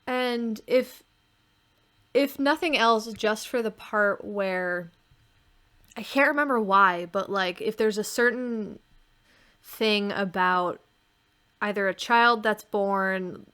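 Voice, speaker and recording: A woman's voice: mid-range voice, takes it slowly, pauses often, a bit bright, smooth. Woman thinking aloud in casual chat. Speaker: director and podcast panelist.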